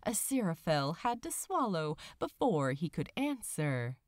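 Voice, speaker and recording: A woman's voice: fairly low pitch, very animated, measured pace, no room sound, clean studio sound. A woman narrating a story dramatically, with an emotive, theatrical delivery. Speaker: narrator of fan fiction audiobook.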